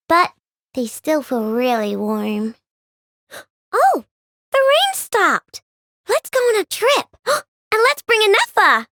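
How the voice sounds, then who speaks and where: A woman's voice: very high voice, bright, forceful tone, no room sound, clean studio sound, full character voice. An actress voicing a cheerful, playful young girl inventor who loves sweets, in bouncy, childlike game voice lines. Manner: playful, wheedling, with gasps and giggles. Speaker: game character voice.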